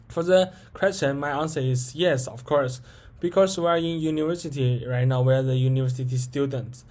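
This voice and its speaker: A man's voice: mid-range voice, darkish tone. Hesitant young man, native Chinese speaker. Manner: hesitant, halting with fillers and restarts. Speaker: international university student. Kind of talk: spoken assignment answering set questions.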